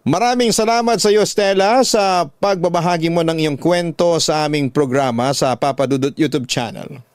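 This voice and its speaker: A man's voice: fairly high pitch, moderate pace, dry recording, studio quality. A man with a Filipino accent, a radio drama host reading out a listener's letter as a dramatic story and giving advice.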